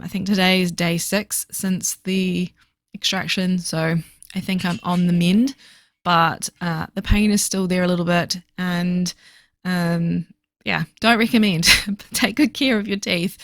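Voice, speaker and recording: Slightly hoarse, chatty woman vlogger. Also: lowish voice, fairly even intonation, no echo. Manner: chatty, many fillers, talks fast. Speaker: book vlogger. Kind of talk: casual voiceover for a reading journal video.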